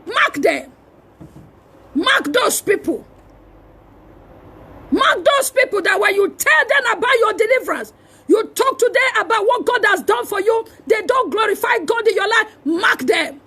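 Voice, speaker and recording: Shrill, shouting Nigerian woman preacher. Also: animated, measured pace. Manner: forceful exhorting with emphatic repeated phrases; shouting delivery. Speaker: preacher and prophet. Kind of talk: fiery charismatic sermon.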